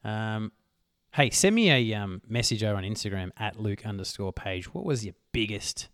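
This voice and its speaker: A man hosting a solo motivational podcast, talking emphatically and swearing casually, his voice worn hoarse. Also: fairly low pitch, expressive, normal speed, fairly bright tone, no echo, clean studio sound. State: losing his voice. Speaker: podcast host.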